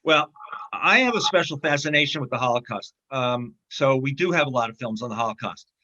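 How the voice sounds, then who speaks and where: A man speaking as a guest in a casual interview, talking haltingly with many fillers and restarts. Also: medium pitch, lively intonation, pushed and bright, muffled recording. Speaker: film distributor, interview guest.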